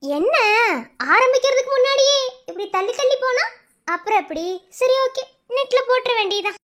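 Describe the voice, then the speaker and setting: A man's voice: extremely high pitch, very animated, moderate pace, sharp tone, exaggerated voice. A man playing a spurned, vengeful young student in a melodrama, taunting and menacing with sneering questions. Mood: mocking threat. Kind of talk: melodramatic audio drama villain acting.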